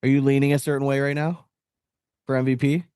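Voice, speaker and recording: A man with a middle-pitched voice hosts a casual sports podcast, recorded close and dry. He speaks conversationally, with slang and firm opinions. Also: little pitch movement, fast talker, a little mellow, clear, resonant tone, no room sound. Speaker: podcast host.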